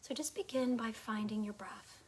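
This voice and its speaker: Calm woman guiding a meditation. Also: fairly high pitch. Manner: calm, unhurried, soothing, soft phrases trailing into breathiness. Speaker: guided meditation teacher. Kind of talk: guided meditation, gentle instruction.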